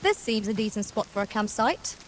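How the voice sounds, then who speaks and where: A woman's voice: medium pitch, sing-song, a little fast, a little hiss or hum, close to an everyday voice. A woman playing an approving adventuring companion in a fantasy game, formal and effusive in her speech. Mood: practical. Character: bright-spirited, approving female adventuring companion serving a master. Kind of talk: scripted fantasy game dialogue.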